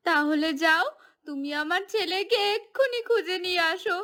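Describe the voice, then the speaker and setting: A woman's voice: very high-pitched, animated, moderate pace, pushed and bright, exaggerated voice. A woman voicing an anguished, pleading village mother in a melodramatic cartoon, grieving for her lost son.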